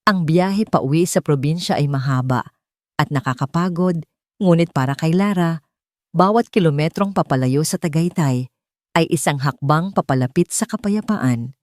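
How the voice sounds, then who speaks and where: A woman's voice: sits low, normal speed, a little mellow, no room sound, studio quality. A woman reading a scripted dramatic audiobook as the story's narrator.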